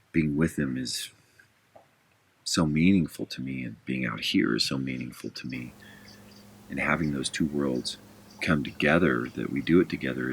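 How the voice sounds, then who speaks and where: A man's voice: a bass voice, gravelly, a bit bright, a little hiss or hum. A man who guides pack goats, talking earnestly and a little rambling about his goats and his time in the backcountry. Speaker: pack goat guide and channel host. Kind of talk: casual talk to camera on the trail. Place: outdoors in alpine backcountry.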